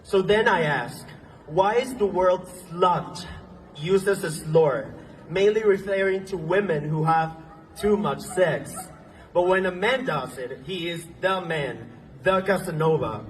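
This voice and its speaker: Young male rally speaker, earnest, a bit halting, slightly high voice, phone-recorded from afar outdoors. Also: far from the mic, poor audio. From Venezuela. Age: young adult. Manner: earnest, halting at times, with ums and restarts. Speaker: speaker at a protest march. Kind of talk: impassioned rally speech. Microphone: phone recording from the crowd.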